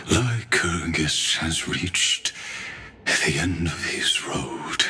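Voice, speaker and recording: An actor playing a calm, detached dying scholar in a video game, speaking solemnly and wearily, with sighs. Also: male voice, a bass voice, heavily acted. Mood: solemn, fading. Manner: solemn, weary, deliberate, sighing. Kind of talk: dramatic video game voice acting.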